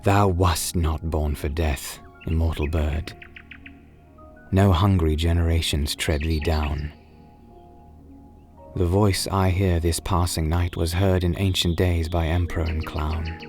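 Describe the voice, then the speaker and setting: A man with a low, deep voice reads romantic poetry aloud. His delivery is very unhurried, solemn and wistful, with drawn-out, dramatic phrasing. Soft music and birdsong play behind the reading. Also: fairly even intonation. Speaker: poetry reader and narrator.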